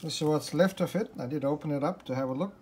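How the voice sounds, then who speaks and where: A man's voice: a little high, quick pace, darkish tone. A man giving an informal, unscripted repair walkthrough, thinking aloud as he explains in a hesitant way. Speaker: hobbyist restorer presenting a repair. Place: indoors at a desk in a room. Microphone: handheld phone or camera, behind the lens. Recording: handheld phone video with background noise.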